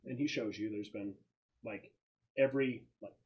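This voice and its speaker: A man's voice: lowish voice, moderate pace, muffled recording, over a noisy crowd. A man narrating a tabletop roleplaying game as game master, describing scenes and actions as they unfold.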